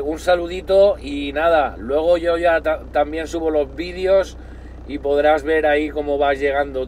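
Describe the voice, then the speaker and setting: A man's voice: a little high, a little mellow. A man with a Spain accent chatting casually as a radio operator, speaking inside a parked car. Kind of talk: casual radio contact chat, signing off. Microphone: phone filming at close range. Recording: faint car and street noise.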